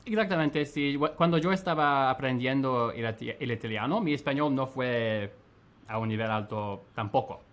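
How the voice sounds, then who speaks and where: A man chatting as a guest on a video call, speaking haltingly with many fillers. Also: medium pitch, a bit bright. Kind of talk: casual multilingual chat.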